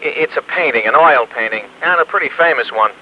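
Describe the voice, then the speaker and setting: A man's voice: mid-range voice, animated, a little fast. An actor playing a folksy, joshing American insurance company man in a conversational old-time radio style. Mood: businesslike, urgent. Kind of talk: mid-century American radio drama acting. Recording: dull, noisy old radio recording.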